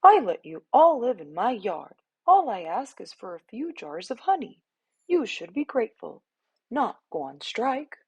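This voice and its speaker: A woman plays a male storybook bear who is set in his ways. He grumbles in a husky, creaky voice with plenty of rise and fall. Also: fairly high pitch, sing-song, a little slow, voice pushed up, heavily acted. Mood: grumbling, affronted. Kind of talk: storybook character voice.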